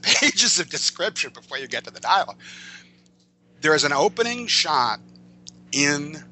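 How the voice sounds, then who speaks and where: A man speaking as an interview guest, explaining writing craft conversationally in a digressive, emphatic, halting way. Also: medium pitch, pushed and bright, a little hiss or hum, poor audio. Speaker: interview guest, comic book and screen writer.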